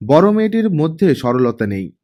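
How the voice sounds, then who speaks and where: A man's voice: on the high side, big pitch swings, normal speed, dark tone. A man narrating a scripted story as an audiobook reader, acting out the dialogue as he goes.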